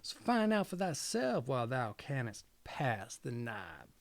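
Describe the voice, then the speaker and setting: A man voicing enchanted farm animals in a storybook, slow, solemn and reproachful in archaic phrasing. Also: medium pitch, big pitch swings, dry recording. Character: stern talking farm animals answering as one in archaic verse.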